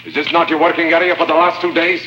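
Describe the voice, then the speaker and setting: An actor playing a stern, bullying chief guard in a radio drama, barking formal commands. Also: male voice, fairly high pitch, little pitch movement, fast, lightly acted. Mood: harsh, threatening. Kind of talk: 1950s radio drama acting. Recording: muffled, noisy, echoing old radio recording.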